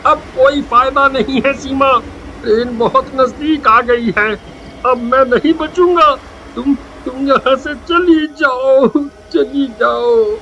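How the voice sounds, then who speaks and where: A man's voice: very high-pitched, slow, pushed and bright, lo-fi sound, slight background noise, heavily acted. A man playing a helpless, pleading village husband in a cartoon horror story. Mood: despairing. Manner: pleading, wailing.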